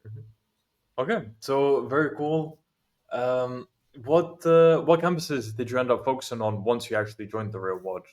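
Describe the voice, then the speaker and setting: A man's voice: mid-range voice, normal speed, a little mellow. Lithuanian-born guy who grew up in london, asking interview questions, friendly and upbeat, mid voice.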